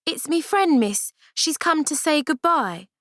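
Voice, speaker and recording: A woman's voice: high-pitched, lively intonation, measured pace, dry recording, studio quality, voice pushed up. A woman voicing a frightened, tearful young orphan girl. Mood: timid.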